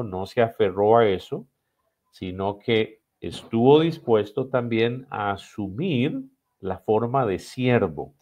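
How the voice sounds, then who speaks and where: A man with a Costa Rican accent and a lowish voice speaks as a guest in a podcast conversation. He explains like a teacher, building his points in short phrases and stressing them through repetition. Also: a little mellow, highs cut off. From Costa Rica. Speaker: missions director, podcast guest.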